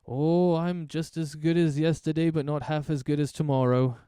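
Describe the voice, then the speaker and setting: A man's voice: a little high, steady tone, moderate pace, dark tone, smooth, dry recording, clean studio sound, voice pushed up, lightly acted. A man voicing an eccentric, forgetful male potion seller in a tabletop game, talking in a chirpy, theatrical way.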